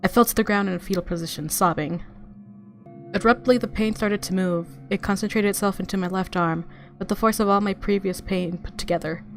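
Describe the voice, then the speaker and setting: A woman's voice: mid-range voice, a little fast, vocal fry. A woman hosting a gaming video, reading visual novel narration aloud expressively and reacting casually. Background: game music.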